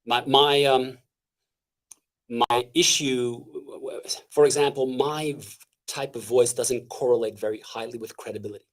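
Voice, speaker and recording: Energetic high-voiced man, halting restarts. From Canada. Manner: animated, halting with many restarts and fillers. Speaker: guest speaker. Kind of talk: casual interview talk.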